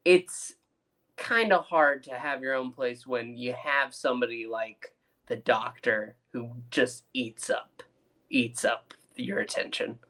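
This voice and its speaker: Mid-pitched man in halting review chat. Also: fairly bright tone. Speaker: podcast co-host, reviewer.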